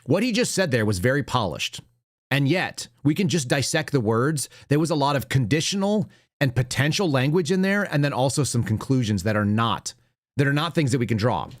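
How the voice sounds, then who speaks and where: A man's voice: mid-range voice, lively intonation, brisk, no echo, studio quality. An American man giving opinionated, unscripted political commentary as a talk show host, blunt, conversational and emphatic.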